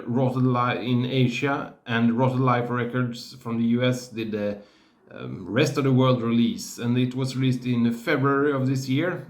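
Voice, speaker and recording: A man reviewing music releases in a casual, hesitant way, pausing often with fillers. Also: medium pitch, roomy sound. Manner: slow, hesitant, many fillers.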